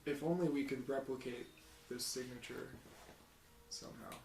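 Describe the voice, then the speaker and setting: A man playing a tabletop game, talking casually in character in a halting, hesitant way. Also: medium pitch, fairly even intonation, creaky, a little mellow, a little hiss or hum. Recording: echoey room with music behind.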